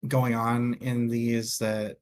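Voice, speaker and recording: A man co-hosting a casual book discussion, speaking in a halting way as he thinks aloud. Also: medium pitch, little pitch movement. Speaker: co-host of a book discussion show.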